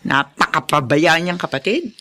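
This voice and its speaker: A woman's voice: low-pitched, animated, moderate pace, bright, forceful tone, a little hiss or hum. A woman playing a spiteful, irritable partner in a Filipino radio drama, complaining with snappish scorn. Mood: scornful.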